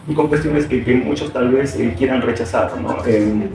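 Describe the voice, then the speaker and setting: A man's voice: medium pitch, moderate pace, gravelly, noisy background. A Peruvian man who teaches, answering interview questions in a reflective way, hesitating often with fillers and restarts. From Peru. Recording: very echoey room, far from mic, music behind.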